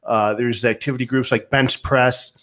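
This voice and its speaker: A man's voice: mid-range voice, fairly even intonation, moderate pace, fairly bright tone. Podcast host guy, regular mid voice, lots of ums before getting to the question. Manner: rambling setups with many fillers. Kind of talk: conversational interviewing.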